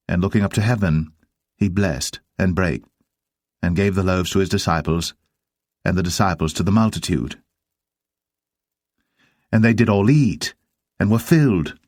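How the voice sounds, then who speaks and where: A man narrating dramatised scripture in a solemn, measured manner. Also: low-pitched, no room sound, studio quality.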